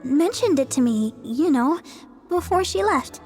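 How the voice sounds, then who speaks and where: A woman's voice: sits high, animated, a little slow, soft background music. An actress voicing a cheerful, caring young female church healer in a video game. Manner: sweet, warm and fussing.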